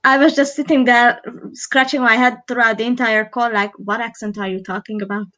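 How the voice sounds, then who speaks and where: A woman's voice: on the high side, steady tone, a little fast, pushed and bright. A woman with a Hungarian accent, a live stream co-host chatting casually while doing makeup, rambling with frequent restarts.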